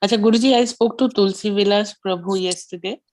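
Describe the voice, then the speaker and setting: A woman with an Indian accent talking casually with her teacher as a student, haltingly, checking for agreement. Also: mid-range voice, a little mellow. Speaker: student in an online class.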